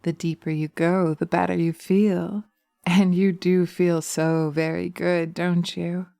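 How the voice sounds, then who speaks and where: A seductive, dominant witch acted by a woman speaks in a lowish, very soft and mellow voice. She is slow and coaxing, teasing with a sinister sweetness, and often slips into whispers. The sound is dry and intimate, close to a binaural mic. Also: level tone, gravelly, no echo. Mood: soothing, commanding. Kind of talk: breathy binaural hypnosis roleplay audio. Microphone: close binaural microphone.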